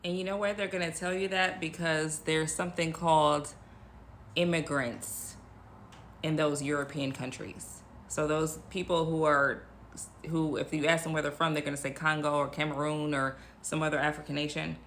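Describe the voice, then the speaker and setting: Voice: mid-range voice, flat delivery, moderate pace, a bit bright, a little hiss or hum. A speaker giving emphatic, incredulous explanations in a casual commentary.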